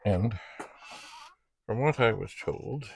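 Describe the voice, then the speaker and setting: A man hosts a casual unboxing video, rambling as he thinks aloud. His voice is low and swings in a lively way from phrase to phrase. A faint layer of noise sits behind him. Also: slow, creaky. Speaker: video host.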